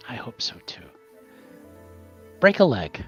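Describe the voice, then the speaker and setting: A man voicing a polite, hesitant gentleman adventurer in a tabletop roleplaying game, speaking in a halting way. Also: mid-range voice, sing-song. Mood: courteous. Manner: halting, courteous. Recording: muffled mic, music behind.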